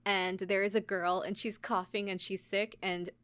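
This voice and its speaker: A woman's voice: mid-range voice, flat delivery, moderate pace, a bit bright, clean tone, no room sound. A woman podcast co-host telling a story in casual chat, informally, with lots of "like" and quoted asides. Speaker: podcast co-host recapping an anime episode. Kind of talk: casual chat, episode recap.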